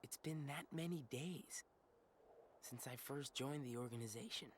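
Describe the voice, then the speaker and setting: A man's voice: mid-range voice, a little slow, a little hiss or hum, lightly acted. A man voicing an earnest, subdued teenage boy in a video game. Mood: reflective. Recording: game audio with soft music.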